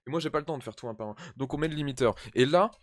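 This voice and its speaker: A man's voice: on the high side, very fast, no room sound. A man chatting casually and explaining as he goes, hesitant and thinking aloud in an informal way. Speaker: music video creator recording a drill track. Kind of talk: casual explanatory vlog chat.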